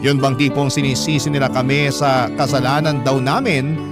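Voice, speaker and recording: A man's voice: medium pitch, fairly even intonation, normal speed, loud music behind the voice, close to an everyday voice. An actor playing a devoted, hardworking young family man with a Filipino accent, telling his hardships as an earnest, confiding first-person radio drama narration. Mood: hurt but resolute.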